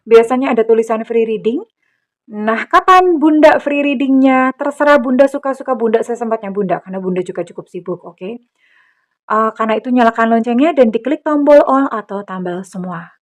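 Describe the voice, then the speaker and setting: A woman's voice: on the high side, animated, a little fast. A middle-aged woman doing a tarot reading for her viewers, speaking affectionately and calling herself Bunda. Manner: affectionate, calls listener darling, refers to herself as Bunda. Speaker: tarot reader. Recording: loud electronic music behind.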